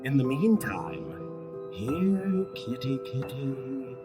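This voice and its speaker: A man's voice: medium pitch, sing-song, very slow. A man voicing a genteel, theatrical spirit in a podcast horror skit, speaking in a pompous, formal and archly polite manner with menace. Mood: offended, menacing. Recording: loud music behind the voice. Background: music.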